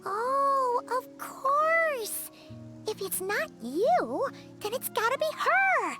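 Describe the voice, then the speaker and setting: A woman voicing a tiny floating female fairy companion in a game, who is blunt, nosy and chatty, with a high, squeaky, childlike voice. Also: big pitch swings, over music, exaggerated voice. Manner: blunt, chatty, quick to tease. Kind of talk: game dialogue.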